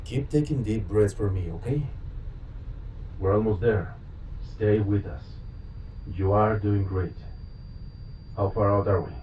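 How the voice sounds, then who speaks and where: A man playing a caring, worried ambulance medic who gently reassures an injured patient in an immersive audio roleplay. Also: on the low side, measured pace, long pauses, slight background noise, lightly acted, poor audio. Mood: soothing concern, then urgent. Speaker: voice actor.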